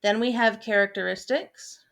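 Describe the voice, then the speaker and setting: A woman in her thirties, a teacher, explaining a lesson in a relaxed, chatty way, with frequent ums and small asides. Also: on the high side, moderate pace, gravelly. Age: 30s. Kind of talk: recorded online lesson. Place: at home, seated at a desk.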